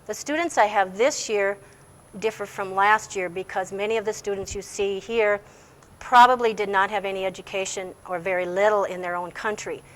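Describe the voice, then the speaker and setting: A woman's voice: mid-range voice, steady tone, normal speed, poor audio. A woman teacher giving plain-spoken, informative answers in an interview, explaining how things work. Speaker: English language teacher. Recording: faint background noise.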